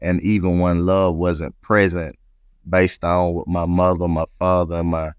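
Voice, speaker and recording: A man's voice: sits low, little pitch movement, unhurried, clear, resonant tone, no echo, lo-fi sound. A man from Atlanta, Georgia, speaking as an interview guest and reflectively telling his own story. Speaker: interview guest, company president.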